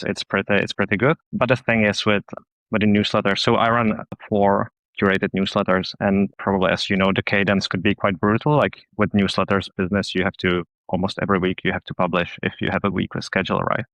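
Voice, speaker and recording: A man's voice: on the low side, a bit bright, no room sound, rough recording. A man in his thirties from the Czech Republic with a Czech accent, a podcast guest talking casually with many restarts and fillers. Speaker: podcast guest, newsletter publisher. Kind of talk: casual interview chat.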